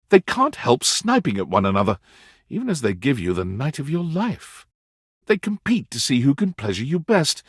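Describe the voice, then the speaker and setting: The narrator of a dating video game, telling the story straight to the player. His medium-pitched voice moves up and down expressively, with measured storytelling phrasing. The sound is dry, with no room around it. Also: slightly rough, no echo. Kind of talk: scripted second-person game narration.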